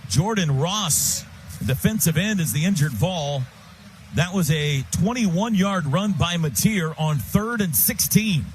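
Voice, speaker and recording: A man doing live radio play-by-play of college football, calling action in short, urgent, excitable phrases that swell into shouts. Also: on the high side, unhurried, slight background noise.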